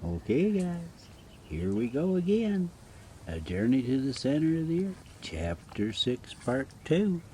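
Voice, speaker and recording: A man reading a story aloud casually and haltingly, stumbling over foreign names. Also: mid-range voice, very animated, warm tone. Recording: noticeable background noise.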